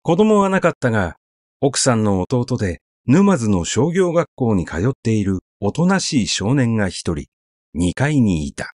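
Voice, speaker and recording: Slow, expressive man reading a story. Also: medium pitch, dry recording, clean studio sound. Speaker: narrator, literary reader. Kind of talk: scripted literary story reading, conversational.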